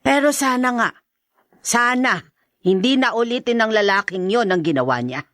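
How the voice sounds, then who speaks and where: An expressive older woman's voice with a Filipino accent as a protective mother in radio drama. She frets and sighs with lively rises and falls, recorded close and dry. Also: on the high side, moderate pace, dry recording. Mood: resigned, concerned. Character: worried, sighing older mother, protective and resigned.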